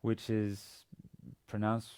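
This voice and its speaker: A man in his forties, a native Danish speaker with a Danish accent, giving an instructional lecture in a halting, hesitant manner with repeated words. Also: fairly low pitch, completely flat delivery, darkish tone, smooth. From Denmark. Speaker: university language teacher. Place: indoor studio with plain grey backdrop.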